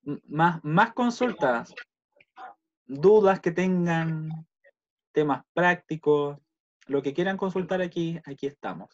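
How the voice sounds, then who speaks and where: A man's voice: on the high side, takes it slowly, long pauses. A man with a Chilean accent casually hosting an online meeting and reading out messages over a video call. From Puerto Montt, Chile. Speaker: host of a virtual university welcome session. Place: indoors at home, on a video call. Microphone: computer video-call microphone. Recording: dull lo-fi video call audio.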